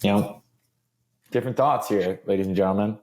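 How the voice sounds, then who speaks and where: Young man, fairly low voice, loose upbeat podcast chat, lots of fillers. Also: fairly quick, a little mellow. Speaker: podcast co-host. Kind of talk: casual chat.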